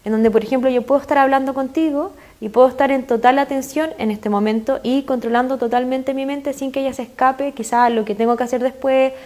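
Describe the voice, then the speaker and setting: A woman's voice: sits high, fairly even intonation, a little fast, few pauses, darkish tone. A woman with a Chilean accent, a guest expert in an interview, explaining earnestly with frequent fillers. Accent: Chilean Spanish.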